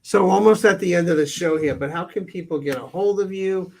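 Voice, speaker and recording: A man's voice: sits high, a little fast, vocal fry. A man hosting an interview show, closing the segment with short affirming replies. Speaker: show host. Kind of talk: interview conversation.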